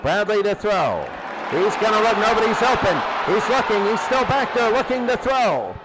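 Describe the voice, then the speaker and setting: A man doing excitable live football play-by-play in a radio style, with conversational asides between plays. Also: sits high, moderate pace, over a noisy crowd, very noisy. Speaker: play-by-play commentator of a simulated football tournament.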